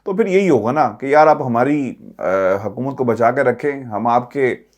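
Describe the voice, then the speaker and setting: A man's voice: mid-range voice, lively intonation, measured pace, darkish tone. A man with a Pakistani accent who gives news commentary to his viewers as a political vlogger, speaking emphatically with rhetorical questions and repeated phrases.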